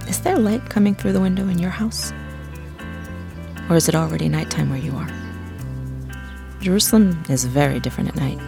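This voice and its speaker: Low, gravelly woman narrating gently. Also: a little fast, pauses often. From English-speaking country. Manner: soft, gentle, addresses the listener directly. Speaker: audio tour guide. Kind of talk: scripted intimate narration. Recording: faint background music.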